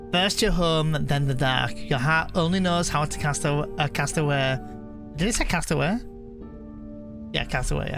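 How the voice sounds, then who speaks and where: A man live streaming a video game, chatting casually and reading the on-screen text aloud in a halting way, often correcting himself. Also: fairly high pitch, gravelly.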